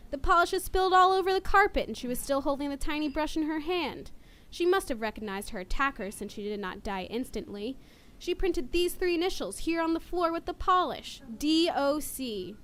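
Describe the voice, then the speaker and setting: A woman playing a cool, deliberate police inspector in a radio murder mystery. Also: high-pitched, animated, fairly bright tone. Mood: probing. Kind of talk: radio drama acting.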